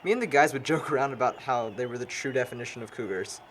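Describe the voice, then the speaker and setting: A man's voice: mid-range voice, quick pace, a bit bright, natural voice. A young American man acting an easygoing, gossipy friend in conversational film dialogue. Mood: amused. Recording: noticeable background ambient noise.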